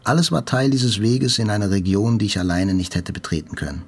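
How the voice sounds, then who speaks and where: Husky, low-voiced male narrator. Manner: measured, reflective. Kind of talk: literary first-person narration for radio drama.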